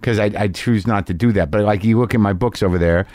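A man's voice: on the low side, fast talker, darkish tone, dry recording, clean studio sound. Curious man asking eager questions. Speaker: podcast host. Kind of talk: casual interview conversation.

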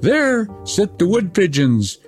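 A man's voice: high-pitched, sing-song, slow, over music, pitched above the performer's own voice, full character voice. A man voicing a wild, threatening little robber girl in a storybook reading, bossy and menacing.

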